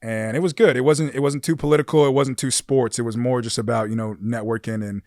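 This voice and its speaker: A young man from California, a pro football player, chatting casually as a podcast guest in a relaxed, easygoing way. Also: medium pitch, fast talker, dry recording, studio quality. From California, USA. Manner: relaxed, easygoing storytelling with frequent fillers. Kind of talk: casual interview chat. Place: dark studio with red lighting. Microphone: studio mic on boom arm.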